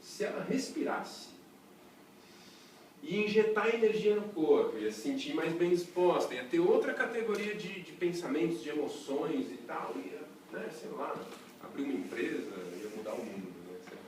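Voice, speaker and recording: A man with a Brazilian Portuguese accent teaching a breathing workshop in a chatty, lively way, throwing rhetorical questions to a small group. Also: fairly high pitch, normal speed, a little hiss or hum. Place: workshop room with a small audience. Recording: echoey room, voice from a distance.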